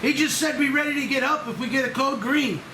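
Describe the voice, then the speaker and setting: A man's voice: extremely high pitch, fast talker, far from the mic. A man reading the part of a casual, easygoing electrician in his fifties at a script table read. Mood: chummy. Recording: echoey room, clear noise.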